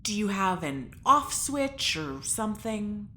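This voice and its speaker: A woman's voice: mid-range voice, very animated, slow, sharp tone, slight background noise, heavily acted. A woman acting in a naturalistic audio drama as a blunt, unimpressed character with dry wit. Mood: blunt, needling.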